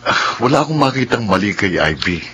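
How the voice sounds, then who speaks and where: An earnest, bright-voiced, caring man. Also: fairly low pitch, very animated, brisk, breathy edge, heavily acted. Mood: concerned, prodding. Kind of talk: radio drama acting.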